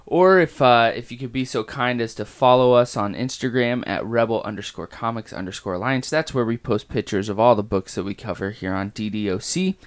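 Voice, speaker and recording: A man with a raspy voice hosting a casual comic book podcast, talking with many pauses, fillers and restarts. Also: mid-range voice.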